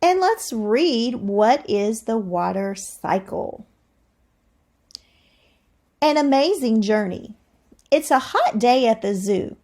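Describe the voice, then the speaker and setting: Slow, animated woman teaching a read-aloud. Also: on the high side. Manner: deliberate, expressive, thinking aloud. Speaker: teacher reading aloud to young children. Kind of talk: read-aloud lesson with questions to the listeners.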